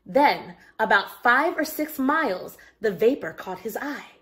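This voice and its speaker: A woman telling a story to young children in an expressive, engaging way, with asides to her listeners. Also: a little high, unhurried.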